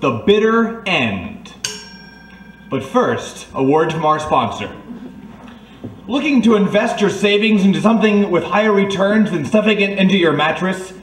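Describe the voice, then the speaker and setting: A man's voice: high-pitched, a little hiss or hum. A man announcing and narrating in the scripted style of an old-time radio serial. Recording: distant echoey room.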